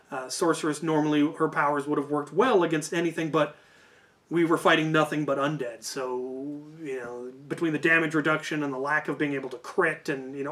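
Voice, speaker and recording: Higher-pitched man telling a casual story. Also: a bit bright. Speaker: host. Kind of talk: casual storytelling of a tabletop game campaign.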